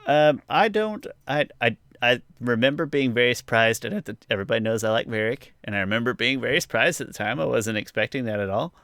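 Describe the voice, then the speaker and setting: A man's voice: medium pitch, lively intonation, fast talker, hardly any pauses, a bit bright, no echo. Casual man co-hosting a podcast, dry sound. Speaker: podcast co-host. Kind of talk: casual TV review chat.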